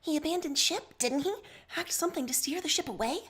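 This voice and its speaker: A woman's voice: sits high, fairly quick, a bit bright, voice pushed up. A woman playing a stern, suspicious junior officer who speaks in an accusing, insistent way in a read-aloud story.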